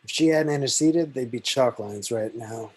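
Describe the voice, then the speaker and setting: A man playing a blunt, wry dead apostle with a slightly husky voice, in a script table read. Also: medium pitch, normal speed, a little mellow, lightly acted. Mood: matter-of-fact.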